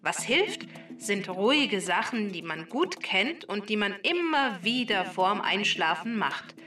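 A woman's voice: mid-range voice, sing-song, measured pace, bright, forceful tone, soft background music. A woman giving scripted explanations for children on a podcast, in a roomy, slightly distant recording.

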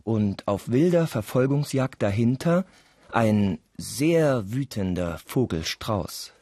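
A man narrating a scripted radio play with deliberate, dramatic storytelling. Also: fairly low pitch, expressive, dark tone, slight background noise.

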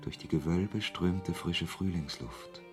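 An actor playing a wry author who narrates his story in a measured, literary way in a German radio play. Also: low voice, over music.

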